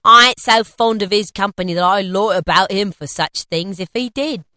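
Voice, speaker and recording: A woman voicing a gloating working-class woman thief in a storybook, callous and mocking, with rough, scornful, cackling speech. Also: medium pitch, normal speed, pushed and bright, no echo, clean studio sound, lightly acted. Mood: brazen, mocking. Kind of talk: storybook character acting.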